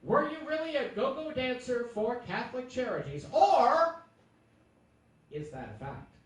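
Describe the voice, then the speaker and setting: A woman's voice: on the high side, very animated, measured pace. A woman playing an exuberant, teasing game show host in a theatrical live comedy show. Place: live theatre stage before an audience. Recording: roomy stage recording from far off, faint noise.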